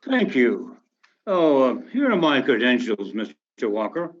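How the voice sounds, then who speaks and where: Male actor as formal government agent, businesslike, lively inflection, radio drama, muffled recording. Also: mid-range voice, unhurried. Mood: formal, courteous. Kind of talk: 1950s radio drama acting.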